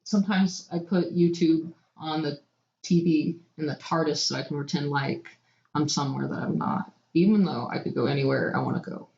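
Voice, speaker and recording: A woman's voice: sits low, moderate pace, gravelly, a little mellow. A woman co-hosting a podcast, bantering and improvising in a casual, playful way. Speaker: podcast co-host. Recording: echoey room, dull lo-fi audio.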